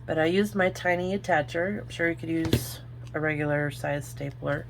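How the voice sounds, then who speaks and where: Low gravelly woman with an Oklahoma accent. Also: level tone, normal speed, background noise. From Oklahoma, United States. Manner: chatty, thinks aloud while working. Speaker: craft video host. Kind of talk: casual crafting tutorial.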